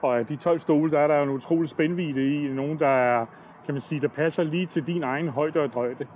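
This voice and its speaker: An older man giving a plain, measured product review on a campsite lawn, speaking into a lapel mic. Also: a little high, fairly even intonation, a little mellow, a little hiss or hum. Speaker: product tester.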